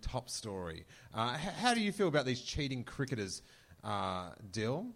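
A man co-hosting a comedic chat who asks wry, deadpan questions. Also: mid-range voice, normal speed. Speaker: co-host.

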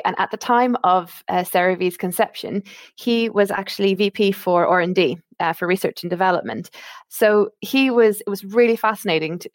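A woman's voice: lowish voice, no room sound. A woman in her thirties with an Irish accent, explaining her work as a guest in an interview, chatty and full of fillers. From Ireland. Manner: chatty, with frequent fillers and restarts. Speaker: guest, medical marketing manager. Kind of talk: conversational interview.

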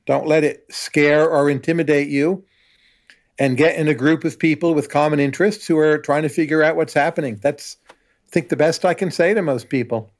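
A man in his sixties, a professor and guest, giving measured, confident opinions in an interview. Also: on the high side, fairly even intonation. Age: 60s. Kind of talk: conversational interview.

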